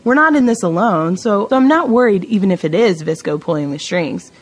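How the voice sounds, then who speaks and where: An actress playing a brash, confident amateur sleuth in an audio drama, blunt and sarcastic. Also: female voice, medium pitch, animated, fairly quick, breathy edge. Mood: confident, explaining. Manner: sarcastic, blunt, self-assured.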